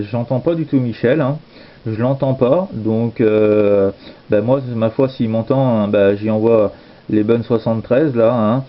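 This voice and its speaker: Low-voiced hesitant man, slightly muffled. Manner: hesitant, repetitive, informal phrasing. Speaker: amateur radio operator. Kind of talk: casual radio contact chat.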